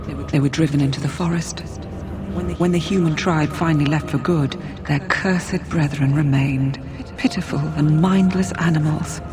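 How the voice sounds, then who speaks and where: A woman voicing a solemn, compassionate forest spirit in a fantasy game, speaking in a measured, formal, earnest way. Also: low-pitched, steady tone, lightly acted. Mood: somber. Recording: music behind the voice. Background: game music.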